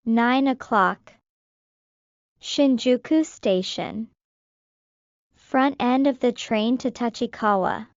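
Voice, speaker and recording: An actress plays an enigmatic young woman in an audio drama, high and smooth, with long-drawn delivery. Her phrases are short and detached, on a slightly muffled recording. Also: slow, pauses often, dry recording. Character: enigmatic, laconic young woman, flat and unhurried.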